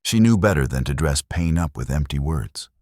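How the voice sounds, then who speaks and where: A man narrates a Western tale in an extremely low, rumbling voice. The delivery is measured and solemn, like a dramatic audio story. The recording is close and dry, with no room sound. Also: lively intonation, darkish tone, no room sound.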